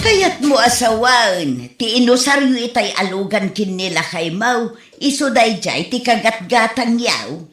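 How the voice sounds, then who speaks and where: A woman's voice: fairly high pitch, animated, measured pace, fairly bright tone. A woman playing a stubborn village nana in a comedy drama, speaking insistently. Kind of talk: Ilocano comedy drama acting. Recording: echoey room with music behind.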